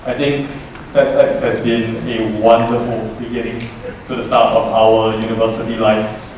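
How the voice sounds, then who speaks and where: A man's voice: mid-range voice, level tone, normal speed, darkish tone, a little hiss or hum. Young man presenting in an echoey hall. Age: young adult. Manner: jokey, chatty storyteller. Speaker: student presenter. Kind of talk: lively presentation to a class. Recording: roomy echo from far off.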